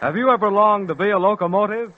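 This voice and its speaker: A man's voice: high voice, quick pace. A man announcing a radio program from a script in formal 1940s style. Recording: dull old 1940s radio recording.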